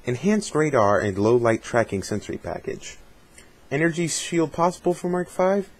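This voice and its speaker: A man with a slightly husky voice doing a dramatic reading, with casual asides in between. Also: fairly high pitch, very animated, moderate pace. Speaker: reader of a fan dramatic reading.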